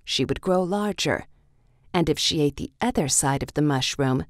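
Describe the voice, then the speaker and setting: A woman's voice: low-pitched, expressive, moderate pace, dry recording, clean studio sound. A woman narrating a children's read-along storybook in a scripted, story-reading style.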